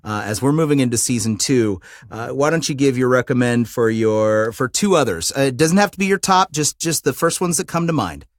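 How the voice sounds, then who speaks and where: A man's voice: medium pitch, animated, a little fast, few pauses, dry recording. A man hosting a podcast interview in a studio, casual, upbeat and encouraging.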